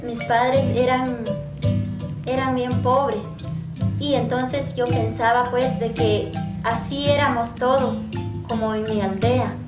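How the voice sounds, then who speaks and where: Echoey, even-voiced Guatemalan woman, learner's Spanish. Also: on the high side. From Quiché, Guatemala. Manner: simple, halting Spanish of a recent learner. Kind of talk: personal testimony. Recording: echoey, distant, loud music behind.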